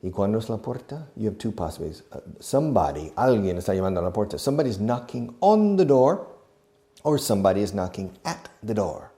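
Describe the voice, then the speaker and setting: A man teaching a beginner English lesson, explaining slowly and acting out each phrase, with short glosses in another language. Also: on the low side, very animated, dark tone. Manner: slow, deliberate, demonstrative. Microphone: video recording.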